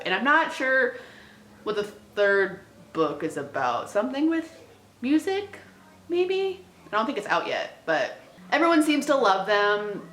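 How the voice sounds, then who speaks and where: A woman with a slightly low voice chats casually, like a vlogger talking to viewers. Her phrasing is informal and slangy, with plenty of hedging. The sound is roomy, with clear echo. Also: a bit bright, a little hiss or hum. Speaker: book vlogger, host. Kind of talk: casual chat to camera.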